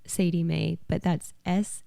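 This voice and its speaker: A woman's voice: on the low side, level tone, a little slow, vocal fry, mellow, dry recording, clean studio sound. A woman chatting casually as a podcast guest. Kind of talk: casual chat.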